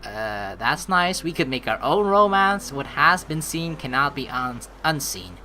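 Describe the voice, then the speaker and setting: Woman gamer, slightly low voice, very lively intonation, chatty, expressive script reading, let's play host. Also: a little slow, slight background noise. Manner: chatty, reads game lines aloud expressively. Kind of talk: casual gameplay commentary and script reading.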